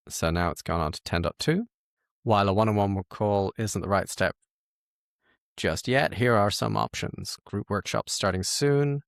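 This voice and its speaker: Low, gravelly man thinking aloud. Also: no echo, clean studio sound. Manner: informal, self-correcting, musing aloud. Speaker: host of software tutorial. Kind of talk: casual screen-share walkthrough, thinking aloud.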